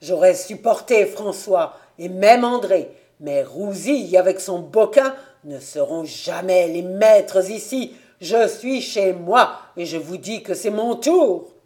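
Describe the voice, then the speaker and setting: Storybook role: bitter, indignant eldest son of a farmer woman narrator performing, forceful, loudly protesting. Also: fairly low pitch, animated, slow, few pauses. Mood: violent, defiant.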